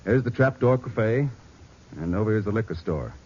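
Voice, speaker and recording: An actor playing a gruff American police boss who gives firm, authoritative orders in an old radio crime drama. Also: male voice, on the low side, animated, normal speed, darkish tone. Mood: commanding. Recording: dull old radio recording.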